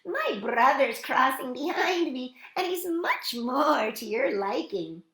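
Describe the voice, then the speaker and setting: Woman doing a tiny, high, squealing little goat. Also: animated, slow, a bit bright, heavily acted. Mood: pleading. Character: tiny, timid, clever young male billy goat. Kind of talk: children's storybook character voice. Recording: roomy, echoing sound.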